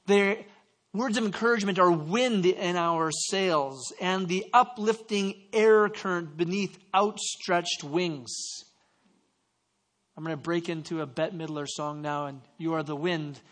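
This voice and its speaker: A man from Canada delivers a sermon in a fairly high voice. He slips into personal stories with self-deprecating asides and stumbles through quick restarts. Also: fairly bright tone. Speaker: pastor.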